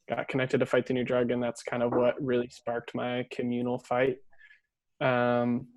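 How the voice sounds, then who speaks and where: Hesitant young man, flat delivery. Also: mid-range voice, no echo, low-quality recording. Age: young adult. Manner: hesitant, many "um" pauses. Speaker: panelist. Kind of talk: panel discussion.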